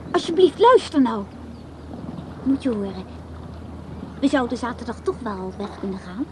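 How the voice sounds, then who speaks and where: A blunt young woman with a fairly high voice in a naturalistic radio drama. She is curt and offhand, stumbling when pressed, with clear outdoor noise and birds behind her. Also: sing-song, normal speed. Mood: coaxing. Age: young adult. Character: blunt, bored young woman with an elderly lover. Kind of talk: naturalistic radio play dialogue.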